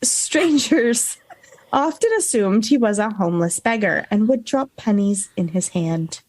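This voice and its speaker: A woman's voice: medium pitch, lively intonation, unhurried, a little mellow, no room sound. A woman co-hosting a podcast, telling a researched story in a casual way. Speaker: podcast co-host. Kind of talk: storytelling from notes with casual banter.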